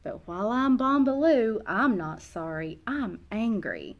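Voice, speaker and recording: Lady doing a kids storytime read aloud, really animated up and down voice, very expressive. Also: fairly high pitch, measured pace, muffled recording. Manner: expressive, acts out a young child narrator's feelings. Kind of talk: children's picture book read-aloud. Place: small indoor classroom or reading room.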